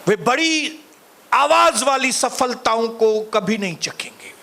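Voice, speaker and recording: A male pastor preaching a sermon, calling out to the congregation and later praying softly. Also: lively intonation, creaky. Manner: preaching, rising to shouted calls to the congregation; soft, low, slow in prayer. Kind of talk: sermon and closing prayer.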